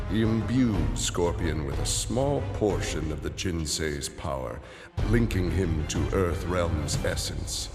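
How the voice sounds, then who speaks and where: A low-pitched man narrates a dark fantasy game story with solemn, measured drama. His voice trails into long reverb, with clear music playing behind it.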